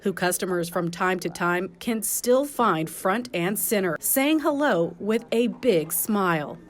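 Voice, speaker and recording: A woman narrates a scripted television news feature. She reports at a middle pitch in a broadcast style. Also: animated, measured pace.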